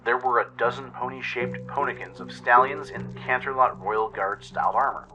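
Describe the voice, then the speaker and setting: A man's voice: medium pitch, steady tone, moderate pace, bright, forceful tone, dull audio. A man reading scripted first-person story narration for a radio play. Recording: music bed under produced voice-over. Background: music.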